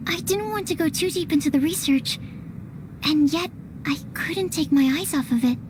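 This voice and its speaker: A woman's voice: high-pitched, moderate pace, noisy background, lightly acted. A woman playing a shy, earnest young female researcher in a video game, speaking in a hesitant, precise and formal manner. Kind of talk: animated game voice acting.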